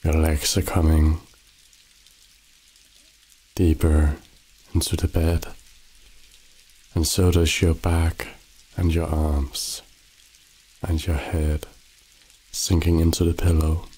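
A man guiding a sleep meditation in a slow, soothing, calm voice. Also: extremely low pitch, slightly rough, rough recording. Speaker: meditation guide. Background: rain sounds.

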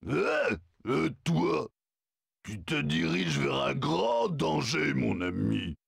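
A man voicing a sly male zoo animal who warns in a slow, hushed, conspiratorial way, in a video game dub. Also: a little high, sing-song, gravelly, husky, no echo, clean studio sound, heavily acted.

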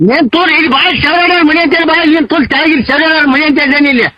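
A man's voice: extremely high pitch, racing, dry recording. A man with a North Karnataka accent arguing on a phone call, stammering and repeating himself. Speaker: prank call participant. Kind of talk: heated phone argument in village dialect. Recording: dull lo-fi phone call recording.

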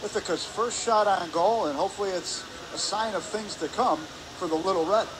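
A man giving color commentary on a hockey game, offering stats and analysis in a conversational, slightly halting way. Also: high voice. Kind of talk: live sports analysis with statistics. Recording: clear arena noise behind voice. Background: ice rink ambience.

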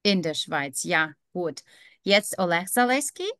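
A woman's voice: on the low side, expressive, a little slow, a bit bright, slight background noise. A woman teaching a beginner German class over a video call, patient and encouraging with her students. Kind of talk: online language class.

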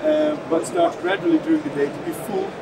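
A man's voice: high-pitched, very animated, gravelly. A man in his thirties demonstrating a product at a trade stand in a large exhibition hall, often restarting his words. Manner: halting, many repeated word restarts. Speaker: company representative. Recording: loud exhibition hall noise.

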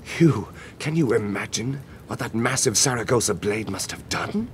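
An actor playing an eager, self-righteous young crusader in a historical audio drama. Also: male voice, fairly low pitch, expressive, normal speed, a little hiss or hum, close to an everyday voice. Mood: scornful. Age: young adult.

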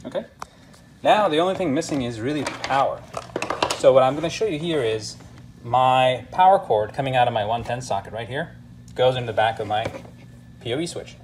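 A man's voice: mid-range voice, a little fast, darkish tone. A man narrating a casual hands-on product tutorial as a demonstrator, explaining things step by step in an instructional way. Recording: clear background noise, room ambience.

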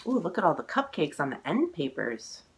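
A woman in her forties reading a children's picture book aloud, expressive and exclaiming, drawing out words for young listeners. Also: deep voice, moderate pace, a little hiss or hum. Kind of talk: animated children's read-aloud storytelling. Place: living room at home, indoors.

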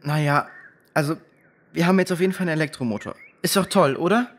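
Phil, high-voiced earnest boy played by male actor. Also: fast talker, lightly acted. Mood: nervous, defensive. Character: Phil, earnest nervous schoolboy. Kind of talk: children's audio drama acting.

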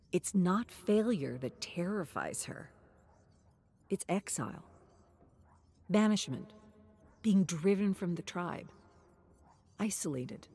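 A woman reading a dramatic scripted story in a measured, suspenseful manner, dropping toward breathy near-whispers for emphasis. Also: low-pitched. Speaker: narrator.

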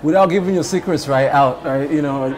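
A man's voice: a little high, fairly quick, a crowd in the background. A man in his thirties hosting a business interview, chatty and prompting with many fillers, on a lapel mic in an office lounge.

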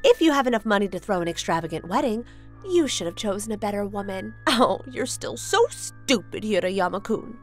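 A woman's voice: mid-range voice, expressive, soft background music, lightly acted. A woman playing a condescending young wedding planner in a manga dub, an ex-girlfriend who is mock-polite and taunting. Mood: mocking, cruel. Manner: mock-polite, taunting, drawn-out jabs with scornful laughs.

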